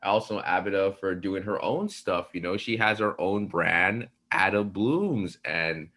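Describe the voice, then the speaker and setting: A man's voice: deep voice, measured pace. A young man hosting a podcast in casual chat, loose and rambling, leaning on "you know" and "like". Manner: relaxed, filler-heavy, with frequent "you know" and "like". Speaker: podcast host.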